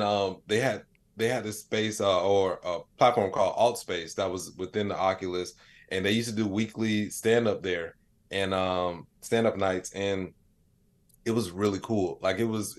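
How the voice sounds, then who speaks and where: A man with a fairly low voice talks as a guest in an interview. He tells his stories casually and loosely, sprinkling in "like" and "you know" as he goes. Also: steady tone, normal speed. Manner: rambling, peppered with "like" and "you know". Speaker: interview guest, comedian and AI storyteller. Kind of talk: casual conversational storytelling.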